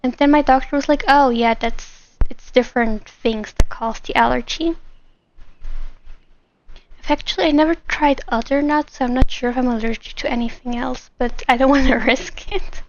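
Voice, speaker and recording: High-voiced giggly woman streaming. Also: steady tone, moderate pace, muffled recording, a little hiss or hum. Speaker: livestreamer playing a game. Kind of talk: casual chat with viewers during gameplay. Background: game music.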